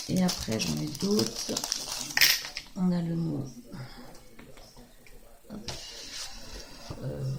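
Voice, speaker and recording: A woman with a hoarse voice from a cold, chatting casually and rambling with many fillers as she shows off her craft purchases at home. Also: lowish voice, rough recording. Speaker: craft video host. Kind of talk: casual show-and-tell haul.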